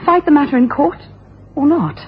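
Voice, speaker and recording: A woman's voice: high-pitched, animated, normal speed. An actress playing an earnest, anxious lady client in a radio drama, polite and eagerly insistent. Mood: anxious, questioning. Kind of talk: 1980s radio drama acting. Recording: dull old radio broadcast, faint noise.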